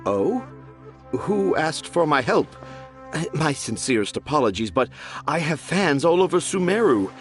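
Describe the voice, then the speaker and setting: An effusive, doting storyteller for a video game. His fairly high voice swoops with grand, florid flourishes. He sounds theatrical and pleased with himself, over faint music. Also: big pitch swings, measured pace. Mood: effusive, musing. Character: flamboyant, effusive male children's storyteller, doting and self-important. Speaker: storybook author character.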